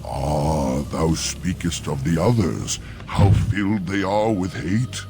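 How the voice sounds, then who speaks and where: An actor voicing an ancient, courteous, mournful talking tree in a fantasy game, with a deep creaky voice and solemn, archaic rhyming verse. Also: male voice, lively intonation, takes it slowly, over music, full character voice.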